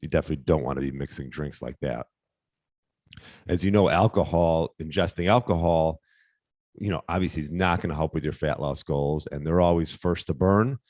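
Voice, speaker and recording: A man hosting a casual solo fitness podcast, slightly hoarse, talking in a relaxed, rambling way with fillers and restarts. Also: a bass voice, fairly quick, no room sound, clean studio sound. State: slightly hoarse, losing his voice. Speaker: podcast host, fitness coach.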